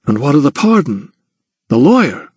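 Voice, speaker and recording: A man's voice: medium pitch, very animated, normal speed, a little mellow, breathy edge, no echo. Man playing an earnest, formal young doctor. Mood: hurt. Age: young adult. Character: earnest, polite young male doctor, easily shaken.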